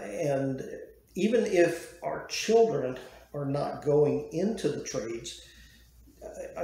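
A man in his sixties giving an unscripted woodworking lesson to a webcam in a dim small room, measured and full of "uh" fillers and restarts. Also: on the high side, vocal fry, darkish tone. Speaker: woodworking educator. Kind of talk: unscripted talk to camera. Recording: very echoey room.

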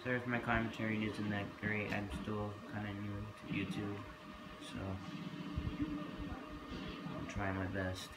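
A man's voice: lowish voice, little pitch movement, unhurried, pauses often. A man with a scratchy voice from being sick, giving casual, playful gameplay commentary and trash-talking the game. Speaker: gaming YouTuber. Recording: echoey room, loud game audio.

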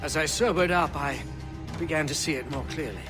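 Man acting a high-voiced, distraught pirate-era assassin. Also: fairly quick, music in the background, close to an everyday voice. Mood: sober, bitter. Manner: theatrical, melodramatic, swinging between whining and outbursts. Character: distraught drunken pirate-era assassin, betrayed brother, self-pitying. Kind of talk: video game cutscene acting.

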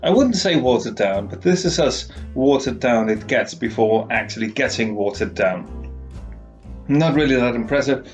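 A man's voice: mid-range voice, lively intonation, normal speed, highs cut off, soft background music. A middle-aged man vlogging in a living room, giving rambling, wordy reviews and sarcastic commentary. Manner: sardonic, wordy. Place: small living room, indoors.

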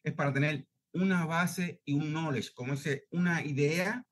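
A man's voice: a little high, unhurried. A middle-aged man with a Cuban accent, speaking on a headset from home in an online class Q&A, earnest and talkative, checking he is understood. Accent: Cuban Spanish. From Cuba. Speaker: student asking a question. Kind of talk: video call Q&A. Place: home room, indoors.

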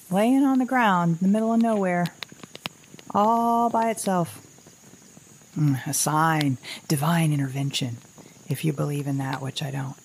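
A woman playing an anxious, wry middle-aged mother surviving after an apocalypse, in a halting, rambling audio drama monologue. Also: lowish voice, a little mellow, slight background noise, low-quality recording. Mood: wry, musing.

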